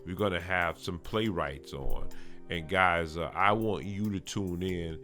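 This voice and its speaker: A man hosting a podcast, talking casually to live viewers in an earnest, halting way with stammered restarts and rhetorical questions. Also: low voice, sharp tone, soft background music. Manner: halting, repetitive phrasing with frequent stammered restarts. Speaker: podcast host.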